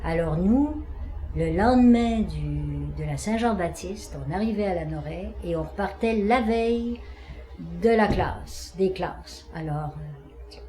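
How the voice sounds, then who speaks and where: A woman's voice: lowish voice, lively intonation, slow, warm tone. A woman from Montreal with a Quebec French accent, recalling summers at her grandfather's house. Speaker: interview participant.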